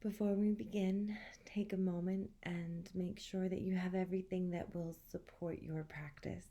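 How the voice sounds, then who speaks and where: A woman leading a guided meditation as an instructor, speaking in a soothing, gentle, measured way with long pauses. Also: fairly low pitch, flat delivery.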